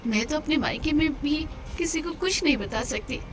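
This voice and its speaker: A woman voicing a gentle, polite, pleading woman of a troubled household in a cartoon story. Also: high voice, lively intonation, moderate pace. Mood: distressed. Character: gentle, distressed, placating women of a troubled household. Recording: clear background noise under voice.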